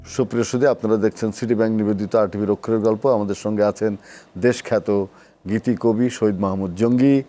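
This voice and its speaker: A man's voice: lowish voice, steady tone, fairly quick. A man with a Bangladeshi accent hosts a cultural talk show, speaking conversationally as he puts questions to his guest. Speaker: TV talk show host, poet. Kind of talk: conversational cultural interview hosting.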